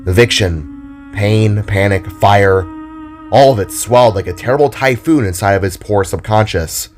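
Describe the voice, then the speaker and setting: A man narrating a scripted story in a dramatic, deliberate and theatrical way. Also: lowish voice, faint music behind. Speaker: narrator and author.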